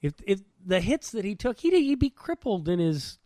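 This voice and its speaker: A man's voice: high-pitched, very animated, normal speed, dark tone, dry recording, clean studio sound. A man co-hosting a podcast, telling things informally and colloquially in casual sports banter. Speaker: podcast co-host.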